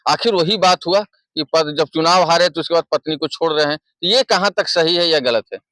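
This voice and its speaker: Male street reporter, higher-than-average pitch, dry sound, wordy repetitive delivery. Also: normal speed, pushed and bright, no room sound. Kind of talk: vox pop interviewing and reporting.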